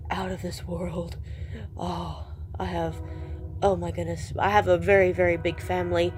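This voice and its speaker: A woman's voice: medium pitch, a little mellow. Stuffed-up woman rambling in a vlog. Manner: rambling, self-deprecating, restarts sentences often. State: stuffed up, congested. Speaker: vlogger. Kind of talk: casual vlog chat.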